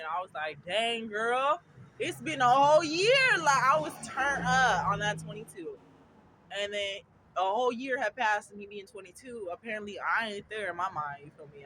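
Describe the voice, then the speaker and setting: A woman's voice: mid-range voice, rough recording. A woman in her twenties chatting casually to camera while waiting at a bus stop, constantly asking "you feel me" and often cracking up with laughter. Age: 20s. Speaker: vlogger. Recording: outdoor street traffic noise.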